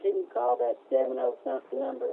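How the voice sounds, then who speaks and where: A man voicing a loud, foul-mouthed middle-aged woman who yells and swears, heard over a tinny phone line. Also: very high-pitched, flat delivery, quick pace, vocal fry, a little hiss or hum, full character voice. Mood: bossy. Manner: shouting, indignant, swearing.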